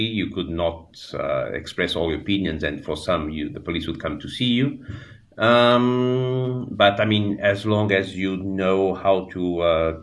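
A man in his sixties from Portugal with a Portuguese accent, talking as a radio interview guest in a halting way, with many fillers and restarts. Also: sits low. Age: 60s. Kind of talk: radio interview, reflective storytelling.

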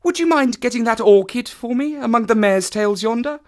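A narrator voicing a proud, eager young woman in a hushed, urgent storybook manner. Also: moderate pace, natural voice. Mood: guarded, polite. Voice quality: low eager voice with a lisp.

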